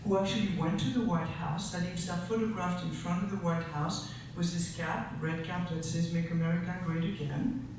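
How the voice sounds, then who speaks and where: A man in his sixties from Europe, a teacher speaking earnestly at a public forum, with passion and halting restarts. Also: sits high, fairly even intonation. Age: 60s. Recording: echoey room, distant mic, noisy.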